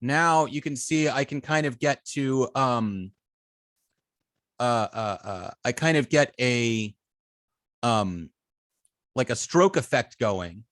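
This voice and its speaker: A man working as an instructor, explaining a live coding demonstration step by step in an informal, halting way, thinking aloud as he works. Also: medium pitch, lively intonation, fairly bright tone, no echo, studio quality. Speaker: web development instructor.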